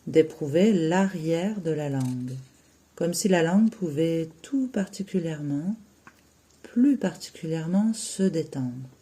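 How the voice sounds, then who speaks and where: Slow, mellow-voiced guided relaxation teacher. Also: mid-range voice, expressive. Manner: soothing, unhurried, with long pauses between short phrases. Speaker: yoga nidra teacher.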